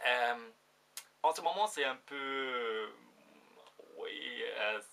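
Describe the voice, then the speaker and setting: German man speaking slow hesitant French. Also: medium pitch, sharp tone. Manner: hesitant, searching for words, many fillers. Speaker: vlogger. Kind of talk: casual direct-to-camera vlog.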